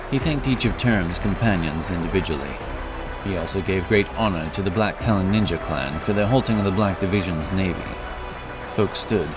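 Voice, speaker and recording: Very deep male fantasy narrator. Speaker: audiobook narrator. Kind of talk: scripted fantasy story narration. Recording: music behind the voice. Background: music.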